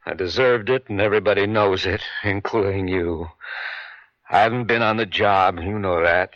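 A man's voice: low voice, normal speed, no room sound, lightly acted. An actor playing a drunken, bitter, self-loathing newsman in a late-1970s radio drama, stammering and blunt. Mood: bitter, sullen. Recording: dull vintage radio broadcast recording.

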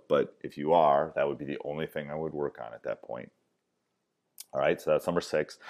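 A very deep-voiced man with a loud voice walks through basketball timeout tips. He hammers points home by repeating them, and his talk is dotted with "um"s and restarts. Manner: emphatic, repeats key points, many fillers. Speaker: basketball coach. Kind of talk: coaching clinic talk.

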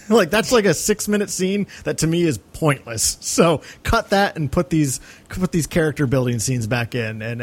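A man co-hosting a podcast, giving opinions in a casual, conversational film analysis. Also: medium pitch. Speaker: podcast co-host.

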